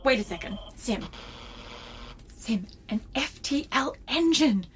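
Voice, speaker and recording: An actress playing an excitable, flippant, dramatic starship co-captain in a sci-fi comedy audio drama. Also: female voice, high-pitched, slow pace, slight background noise. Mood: eager. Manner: theatrical, flippant.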